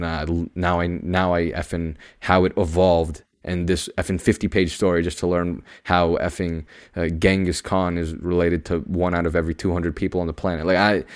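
A young man with a deep, projected voice giving a casual podcast monologue in an emphatic, matter-of-fact, know-it-all manner. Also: clear, resonant tone. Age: young adult. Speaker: podcast host.

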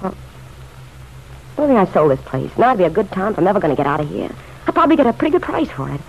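A woman's voice: medium pitch, big pitch swings, quick pace, a little mellow. An actress playing a bitter, pleading, lovelorn city woman in a vintage radio drama, blunt, slangy and insistent. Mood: eager pleading. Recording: dull, noisy old radio recording.

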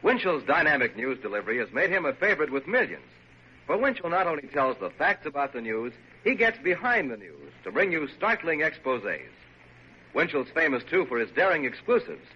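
Animated American radio announcer, higher voice. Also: male voice, brisk, breathy edge, dull audio. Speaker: network announcer. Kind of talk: old-time radio promotional announcing. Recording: noisy, band-limited old radio recording.

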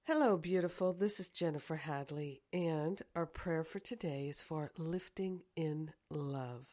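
A woman with a hoarse, croaky voice from a cold, leading a slow, earnest guided prayer full of affirmations. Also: sits low, dry recording, clean studio sound. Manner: soft, slow and earnest, repeating "grateful and thankful". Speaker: spiritual teacher and podcast host.

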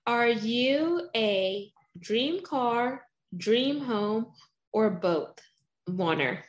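A woman's voice: a little high, animated, extremely slow. A woman hosting a podcast interview in a bubbly, playful, sing-song manner. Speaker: podcast host.

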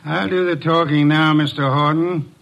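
An actor playing an imperious, eccentric older detective in a vintage radio mystery, speaking formally and deliberately with pompous weight. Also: male voice, a little high, fairly even intonation, gravelly, fairly bright tone. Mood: commanding, deliberate. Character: imperious, eccentric genius male private detective. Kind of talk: 1950s American radio mystery acting. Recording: vintage radio drama recording.

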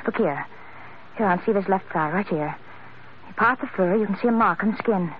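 An anxious, pleading young woman played by an actress in a vintage radio drama. Her voice sits fairly low and she speaks with earnest, dramatic insistence. The old recording is thin and phone-like, with faint noise. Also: fairly quick, lightly acted. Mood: earnest, insistent.

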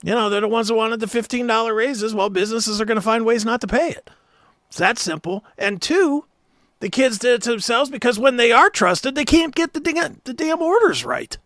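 A man's voice: very high-pitched, fairly quick, a bit bright, no room sound, clean studio sound. A middle-aged American man co-hosting a talk show, chatting casually and bluntly with strong opinions and some swearing.

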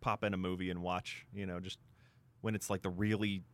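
A man's voice: low-pitched, level tone, fairly quick, a bit bright. A man with an American accent co-hosting sports radio, talking casually and hesitantly with lots of "you know" asides. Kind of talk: casual chat.

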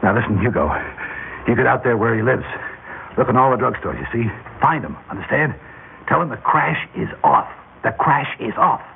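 A man's voice: mid-range voice, animated, moderate pace, vocal fry. An actor playing a ruthless crime boss in a classic radio drama, with clipped, commanding tough-guy talk that turns nervous under pressure. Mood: anxious, urgent. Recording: tinny, noisy old radio broadcast.

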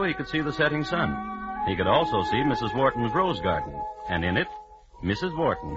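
A man narrating an old radio drama from a script, in a wry, arch and ornate manner. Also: on the low side. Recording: dull vintage radio recording with music.

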